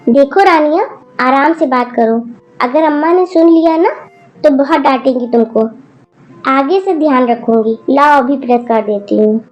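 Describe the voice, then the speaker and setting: A woman voicing a patient mother who calmly placates her family. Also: high-pitched, normal speed, lo-fi sound, natural voice. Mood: appeasing. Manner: calm, appeasing, gentle. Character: patient, soft-spoken mother of a grown daughter.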